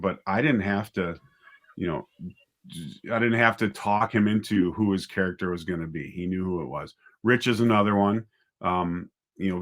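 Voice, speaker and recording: Male interview guest, writer-director type. Lowish pitch, casual, thinks aloud with some hesitancy. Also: a bit bright.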